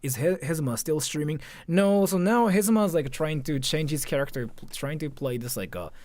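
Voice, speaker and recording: A man's voice: a little high, animated, normal speed, a little mellow, no room sound. A man chatting casually on a livestream, informal and slangy, often putting questions to his viewers. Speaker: live streamer. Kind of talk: casual livestream chat, commenting and answering viewers.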